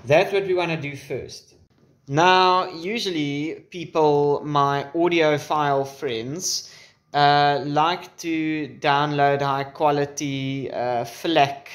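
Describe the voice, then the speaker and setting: A man's voice: a little high, steady tone, takes it slowly. A man in his twenties presenting a casual, informal how-to demonstration with wry asides, recorded on a camera microphone. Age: 20s. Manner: informal, wry joking asides and mild swearing. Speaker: tutorial presenter. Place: small room at home, indoors. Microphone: camera's built-in microphone at varying distance.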